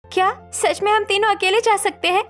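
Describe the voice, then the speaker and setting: Woman as an earnest, high-voiced young bride. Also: flat delivery, a little fast, a bit bright, faint music behind. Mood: eager. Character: yearning, stifled young sister and new bride.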